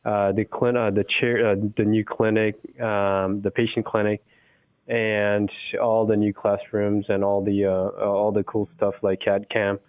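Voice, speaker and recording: A young man from South Korea, a dentist speaking politely and carefully as a podcast guest, pausing to find words. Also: fairly low pitch, level tone, no echo, phone-quality sound. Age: young adult. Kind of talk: casual podcast interview.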